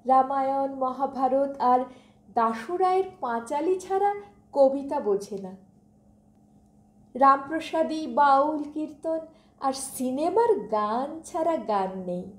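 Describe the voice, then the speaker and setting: A woman reciting poetry expressively, with dramatic, deliberate phrasing and pauses. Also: high voice, darkish tone. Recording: roomy, echoing voice recording.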